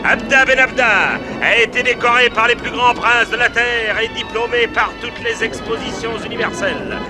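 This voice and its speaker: Carnival barker, high voice, grandiloquent patter, radio drama, loud music behind. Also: male voice, little pitch movement, moderate pace. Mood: grandiose, haranguing. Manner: declamatory, grandiloquent sales patter. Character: bombastic fairground barker luring passers-by to a fortune-teller. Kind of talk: French radio drama acting.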